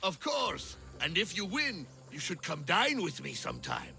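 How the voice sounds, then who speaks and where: Flirty admirer, eager, gravelly, animated, loud music behind. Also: male voice, fairly high pitch, a little slow, heavily acted.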